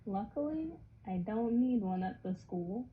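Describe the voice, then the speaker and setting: A nineteen-year-old woman art student answering casually with a wry, relaxed manner. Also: medium pitch, unhurried, narrow, tinny audio.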